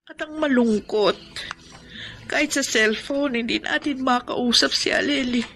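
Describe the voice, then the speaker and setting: A woman's voice: sits high, a little slow, fairly bright tone, slight background noise. A woman playing an anxious, sorrowful mother and wife in a Filipino radio drama, with a fretful, grave delivery. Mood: sad, reproachful.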